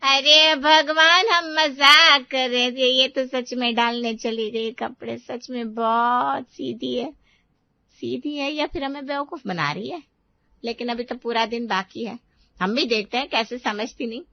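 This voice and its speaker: A woman voicing a sharp-tongued, scheming older aunt in a cartoon, with a mocking, taunting way of talking. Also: fairly high pitch, unhurried, no echo, highs cut off. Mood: exasperated. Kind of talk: Hindi cartoon voice acting.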